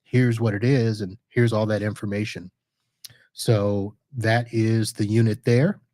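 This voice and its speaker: A man hosting a podcast, casually walking through a software demo live while sharing his screen, chatty and correcting himself as he clicks around. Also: fairly low pitch, little pitch movement, unhurried, no room sound. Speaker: podcast host reviewing network gear.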